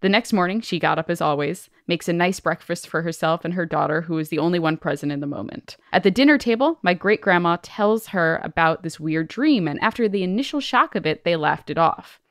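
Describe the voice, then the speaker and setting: A woman's voice: lowish voice, animated, a little fast, hardly any pauses, dry recording, studio quality. A woman co-hosting a podcast, reading listener stories aloud and chatting in a casual, colloquial way. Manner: colloquial, peppers speech with "like". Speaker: podcast co-host.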